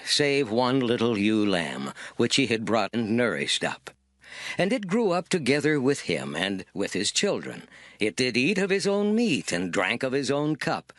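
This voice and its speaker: A man's voice: mid-range voice, a bit bright, dry recording. An actor playing a stern male prophet in a dramatized scripture recording, solemn, declamatory and emphatic as he delivers judgment. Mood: grave storytelling. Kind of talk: dramatized scripture audio acting.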